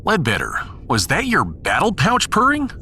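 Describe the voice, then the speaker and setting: Man playing a lively, wry starship captain. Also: on the high side, normal speed, background noise, pitched above the performer's own voice. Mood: suspicious. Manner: wry, earnest. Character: male starship captain, wry, resolute and hopeful. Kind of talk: sci-fi audio drama acting.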